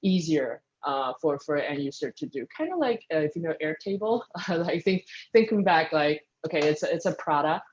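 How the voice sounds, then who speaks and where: A speaker answers interview questions at a mid pitch, thinking aloud with frequent ums and restarted sentences. The audio sounds dull and lo-fi. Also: expressive, moderate pace. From Taiwan. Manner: thoughtful, lots of ums and restarts. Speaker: guest speaker, engineering manager.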